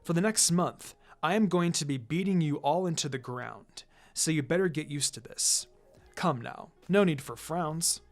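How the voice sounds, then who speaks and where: A man voicing a calm, quietly menacing male ninja mentor in a storybook reading, measured and playfully condescending. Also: a little high, voice pushed down, lightly acted. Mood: teasing menace. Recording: music behind the voice.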